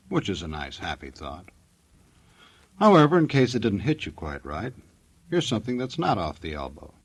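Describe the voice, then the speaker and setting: A man's voice: on the low side, slightly rough, dry recording. A man announcing in wry, playful, scripted 1940s radio patter.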